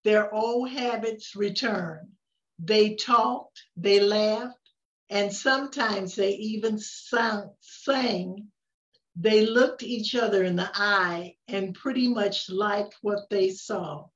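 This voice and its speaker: An older woman reads a picture book aloud at an unhurried pace, leaning into key words with expressive emphasis. Her voice sits at an ordinary pitch for a woman. The recording is a little dull and lo-fi. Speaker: story reader. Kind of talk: picture-book read-aloud with casual asides.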